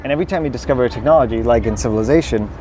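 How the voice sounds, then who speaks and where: A Danish-born man with an American accent tells stories eagerly, rambling and full of fillers. His voice sits at a middling pitch for a man. He was recorded outdoors at a busy pier, with traffic clearly audible behind him. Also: racing. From Denmark. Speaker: podcast guest. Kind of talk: casual conversation. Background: city traffic.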